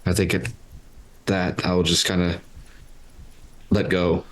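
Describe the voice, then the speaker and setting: A man with a very deep voice improvises as a player in a tabletop role-playing game. He speaks slowly and pensively, halting as he works through what his character thinks and does. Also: little pitch movement.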